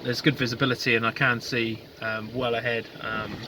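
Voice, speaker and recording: Solo sailor vlog voice: man, mid pitch, halting and casual, recorded outdoors at sea with clear wind and water noise. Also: flat delivery, rough recording. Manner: halting, lots of ums and restarts. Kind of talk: casual video diary. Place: outdoors on a small sailboat at sea. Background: wind, water and boat sounds.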